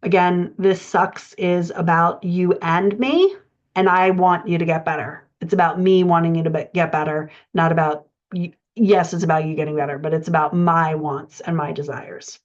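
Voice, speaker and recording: A woman coaching writers in an explanatory lecture style, speaking haltingly with pauses as she thinks through her points. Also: on the low side, steady tone. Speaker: writing coach presenting a podcast lesson. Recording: dull, band-limited audio.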